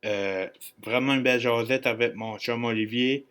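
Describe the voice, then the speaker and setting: A man's voice: medium pitch, fairly even intonation, moderate pace, fairly bright tone, clean tone. A man with an Acadian French accent hosting a solo podcast in an informal, joking, rambling way with bits of English slang. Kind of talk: casual podcast monologue.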